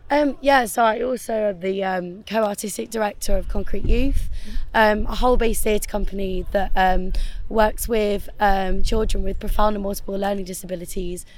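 A young woman, hoarse and gravelly from losing her voice, chatting casually about theatre work with lots of ums and likes. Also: mid-range voice, fairly even intonation, moderate pace, low-quality recording. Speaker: assistant theatre director. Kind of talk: casual podcast interview. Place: theatre venue with music in the background.

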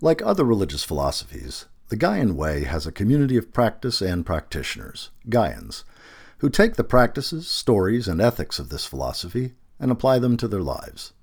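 Male narrator for long-form article. Low register, animated intonation, emphatic and deliberate phrasing. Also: husky. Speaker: narrator reading a magazine feature aloud.

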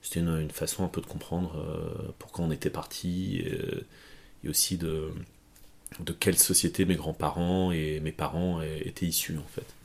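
Interview guest, man from Portugal, very low gravelly voice, halting delivery. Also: little pitch movement. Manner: hesitant, many fillers and restarts. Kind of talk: conversational interview.

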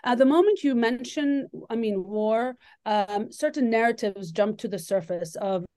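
A woman from Lebanon, an author and scholar, answering interview questions thoughtfully with many pauses and ums. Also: a little high, warm tone, dry recording.

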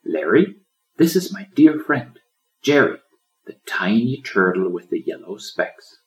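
A man's voice: fairly low pitch, very animated, unhurried, low-quality recording. A man voicing a friendly, exuberant pelican who exclaims and reassures in an animal storybook. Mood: excited, reassuring. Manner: beaming, exclaiming. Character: exuberant friendly male pelican, loyal guide.

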